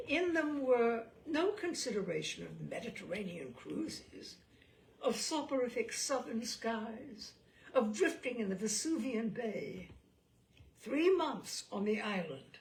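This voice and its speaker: A woman storyteller with a fairly high voice that swoops widely as she narrates. She takes her time, shaping each phrase deliberately and theatrically, and a creaky edge runs through her delivery. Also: a little hiss or hum, low-quality recording. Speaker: storyteller reading a short story aloud. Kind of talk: expressive literary story narration.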